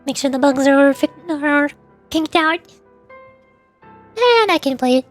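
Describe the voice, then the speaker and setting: A woman's voice: high voice, animated, slow pace. A woman chatting casually on a livestream as a VTuber game streamer, in a giggly, rambling way. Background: faint music.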